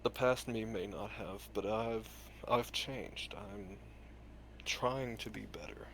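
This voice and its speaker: A man playing a reformed villain ninja mentor in a tabletop game, speaking in a deliberate, earnest, halting way. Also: fairly low pitch, a bit bright. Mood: solemn, earnest. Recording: noticeable background room noise.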